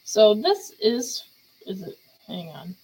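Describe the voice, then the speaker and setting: A woman's voice: mid-range voice, big pitch swings, slow, a little mellow, slightly rough, low-quality recording. A chatty woman hosting a casual talk show, laughing often as she asks questions. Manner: giggly, chatty. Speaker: podcast host. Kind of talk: casual chat.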